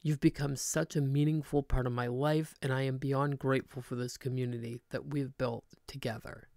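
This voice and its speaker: A woman in her thirties, a cleaning channel host, speaking in a soft, earnest, sincere voice-over. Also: rumbling low, fairly even intonation, normal speed, no room sound, clean studio sound. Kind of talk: heartfelt voice-over narration.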